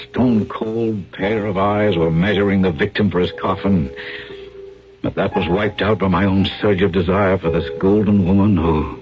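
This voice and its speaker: A man playing a glib, guilt-ridden talent agent in a radio drama, with slangy salesman patter and confessional first-person narration. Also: deep voice. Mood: brooding, confessional. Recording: dull radio recording with dramatic music.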